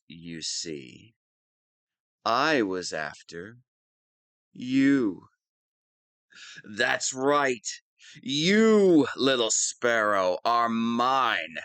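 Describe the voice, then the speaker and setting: Casting: pompous, whiny comic supervillain for audio roleplay. Mid-pitched, smooth, measured, grandiose but thin-skinned. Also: male voice, a bit bright, no echo, studio quality. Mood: gloating. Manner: pompous monologuing that slips into whining. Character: whiny, theatrical wannabe supervillain, smug but petulant. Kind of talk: scripted audio roleplay monologue.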